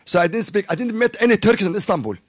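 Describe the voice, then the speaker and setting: A Kurdish-speaking man from Syria making impassioned remarks from the conference floor. His voice sits a little high and is heard dry and close, and he leans on "you know" as he presses his point. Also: expressive, rapid-fire, no echo. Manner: fervent, peppers speech with "you know". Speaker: audience member.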